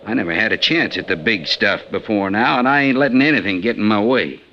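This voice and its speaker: Boastful frontier robber for a radio western: lowish, hard-edged voice, blunt and menacing, lo-fi vintage sound. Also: male voice, lively intonation, fast. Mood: scheming, callous. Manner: talkative, folksy, blunt, menacing. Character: brash, boastful, ruthless male frontier gold robber. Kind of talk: old-time radio western acting. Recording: lo-fi 1950s radio recording, faint noise.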